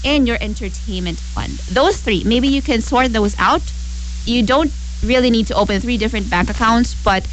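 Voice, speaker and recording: A woman's voice: on the high side, moderate pace, slight background noise, poor audio. A young woman hosting a radio show, chatting casually and putting questions and advice straight to listeners. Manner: conversational, addresses listeners with questions. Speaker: radio host.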